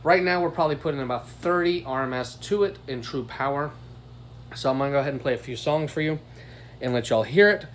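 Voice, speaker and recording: A man's voice: mid-range voice, fast talker, a little hiss or hum. An American man giving a casual product demo as a reviewer, recorded on a phone microphone. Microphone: phone above the bench.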